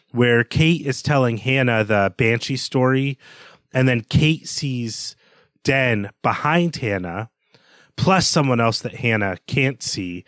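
A man's voice: mid-range voice, no room sound. Thoughtful male podcast co-host, dry sound. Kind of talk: conversational literary analysis.

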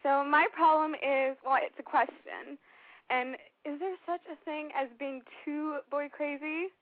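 A woman's voice: high voice, fairly even intonation, unhurried, no room sound. A woman of twenty-two from Switzerland calling in, rambling and giggly with many fillers. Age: 20s. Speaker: caller. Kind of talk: casual phone call. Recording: dry tinny phone line.

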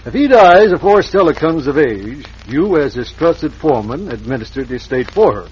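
An actor playing a scheming, bossy crooked western lawyer in old-time radio style, with a folksy, commanding drawl. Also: male voice, fairly high pitch, very animated, normal speed. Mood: impatient, insistent. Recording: dull, noisy vintage radio recording.

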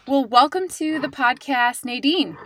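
A woman's voice: high-pitched, animated, unhurried, over music. A woman hosting a podcast, chatty and encouraging as she introduces and interviews in a conversational style.

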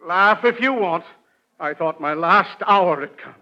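A man's voice: high voice, animated, measured pace, bright, forceful tone, exaggerated voice. A man playing a pompous, touchy, boastful middle-aged uncle who blusters in florid phrases in a 1940s radio comedy. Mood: wounded, boastful. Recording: dull vintage 1940s radio recording.

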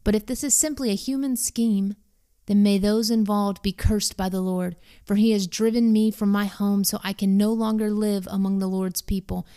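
A woman's voice: medium pitch, steady tone, moderate pace, warm tone, close to an everyday voice. A woman voicing a bold young male fugitive warrior in a Bible story, earnest, declamatory and pleading. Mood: aggrieved pleading.

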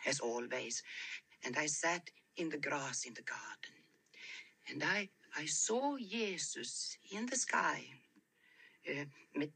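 An actress playing a timid, pious Swedish woman missionary who speaks halting, broken English with a Swedish accent. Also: low voice, bright, forceful tone, slight background noise, heavily acted.

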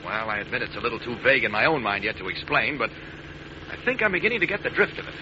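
A man's voice: medium pitch, expressive, fast, natural voice. A man acting an earnest young reporter with a secret identity in a 1940s radio drama. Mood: grave, determined. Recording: muffled noisy vintage radio broadcast.

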